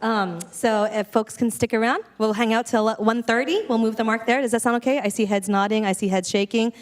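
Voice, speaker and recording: A woman's voice: on the high side, fairly even intonation, a little fast. A woman hosting a community meeting who talks to the room in a friendly, informal way and keeps things moving. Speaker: event emcee.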